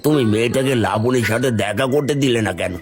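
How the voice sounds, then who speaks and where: A man's voice: medium pitch, fast talker, faint music behind, natural voice. A man voicing a fair-minded, kindly village husband and uncle who reasons reproachfully in a children's cartoon.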